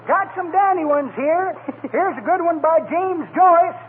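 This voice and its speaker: A cheerful, folksy old man peddling his wares. His cracked voice sits extremely high and moves slowly. It comes through a tinny, noisy vintage radio comedy recording. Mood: chirpy, folksy. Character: chirpy elderly old-timer peddling books door to door. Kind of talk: 1940s radio comedy acting.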